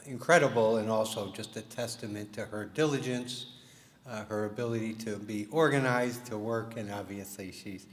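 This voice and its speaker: A man giving an informal, halting speech as a presenter at an awards dinner. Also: fairly low pitch. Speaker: organization host and award presenter. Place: large room at a live fundraising event. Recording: echoey hall, audience noise.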